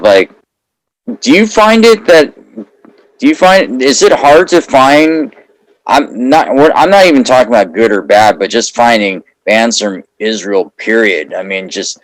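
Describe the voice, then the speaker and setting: A man's voice: mid-range voice, expressive, a little slow, no echo, rough recording. A man hosting an interview podcast, asking long questions that he keeps rephrasing as he goes. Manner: stumbling, reworded questions. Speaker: podcast host. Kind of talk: casual interview.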